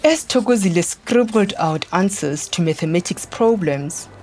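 A woman narrating a scripted children's story in a measured, deliberate way, pausing between phrases. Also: on the low side, animated, a little mellow, a little hiss or hum. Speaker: podcast narrator. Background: music.

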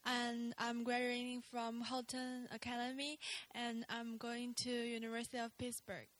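A woman's voice: on the high side, monotone, moderate pace, a bit bright. A young woman from China, a graduating student, introducing herself. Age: young adult.

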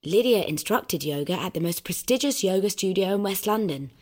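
An actress voicing a coolly smug, controlling disembodied guide, precise and arch, narrating and coaching in an audio drama. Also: female voice, medium pitch, animated, fairly quick, a little mellow, natural voice. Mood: grandly narrating. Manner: precise, arch, grandiose, instructing.

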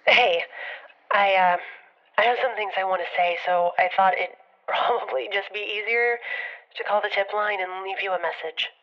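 A dull, lo-fi phone recording. An actress plays a hesitant woman leaving a heartfelt message in an audio drama. Her delivery is halting, gentle and conciliatory. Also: mid-range voice, fairly even intonation, natural voice. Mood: awkward. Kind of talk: audio drama monologue as voicemail.

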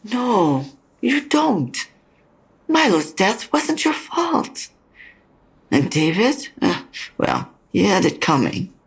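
Husky, creaky dying mother. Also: medium pitch, sing-song, full character voice. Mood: consoling, earnest. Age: older. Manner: slow, halting, probing. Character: dying mother, frank, consoling, stubbornly insistent. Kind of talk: audio drama acting.

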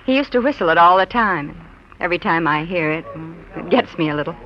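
A woman's voice: fairly low pitch, lively intonation, a little fast. An American actress playing a poised, wry young office woman in 1940s radio drama, cool and guarded in delivery. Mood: weary, wistful. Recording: dull, lo-fi old radio recording.

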